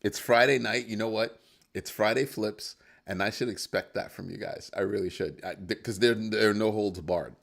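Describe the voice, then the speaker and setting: A man's voice: moderate pace, creaky. A man hosting a casual livestream, playfully reacting to comments from his viewers. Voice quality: deep voice. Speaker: livestream host.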